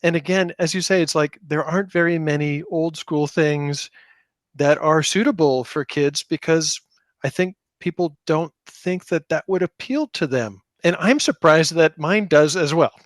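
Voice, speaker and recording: A man's voice: a little high, normal speed, no room sound, clean studio sound. A middle-aged man talking as an interview guest, explaining his work in a casual, self-deprecating way. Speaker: podcast guest, tabletop game designer.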